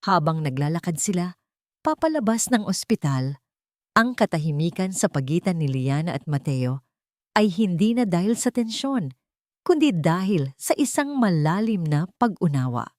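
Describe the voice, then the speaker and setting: A woman reads a dramatic story as its narrator. Her voice sits fairly low and moves with lively intonation, sentimental and measured. The recording is dry and free of room sound. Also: dry recording.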